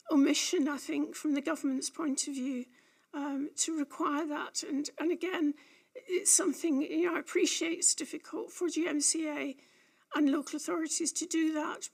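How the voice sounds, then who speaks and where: A woman with a croaky, gravelly voice that sits high. She speaks in a measured, hesitant way, often pausing to find her words, giving a formal statement at a public hearing. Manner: measured, hesitant with frequent ums.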